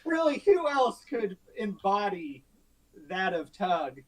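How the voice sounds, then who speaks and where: A man's voice: high-pitched, big pitch swings, slow pace. A man playing a tabletop game, chatting casually and rephrasing his questions hesitantly as he goes.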